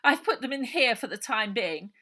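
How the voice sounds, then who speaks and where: A woman's voice: on the high side, very animated, moderate pace, sharp tone, pitched above the performer's own voice, exaggerated voice. A woman voicing a greedy, scheming married villainess with a treacly, gushing manner in a storybook. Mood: sugary.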